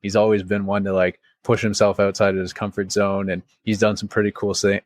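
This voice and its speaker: Low-voiced young man hosting a podcast. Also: little pitch movement, brisk, no echo, clean studio sound. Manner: chatty, informal, peppers talk with "like". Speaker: podcast host. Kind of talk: casual conversational interview.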